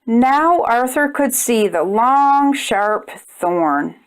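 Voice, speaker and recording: A woman's voice: fairly high pitch, animated, very slow, clear, resonant tone. A woman hosting a children's storytime, reading aloud expressively and speaking directly to young listeners. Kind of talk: children's read-aloud narration.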